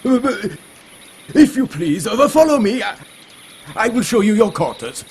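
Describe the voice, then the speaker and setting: An actor playing a bumbling, anxious army sergeant in a game, talking in a hesitant, flustered and fawning manner. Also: male voice, high-pitched, very animated, measured pace, slight background noise, exaggerated voice. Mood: nervous, fawning. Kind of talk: video game cutscene acting.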